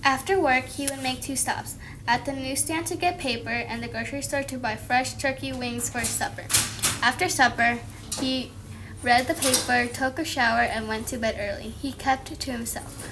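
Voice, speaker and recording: A woman reading a children's story aloud, haltingly, sometimes repeating a word or phrase. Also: sits high, fairly even intonation, background noise. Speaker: student reader. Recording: music playing behind the voice. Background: music.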